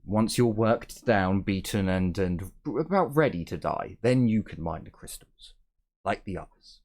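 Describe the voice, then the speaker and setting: A man's voice: fairly low pitch, animated, measured pace, a little mellow. A man running a tabletop roleplaying game as game master, explaining the scene while searching for words.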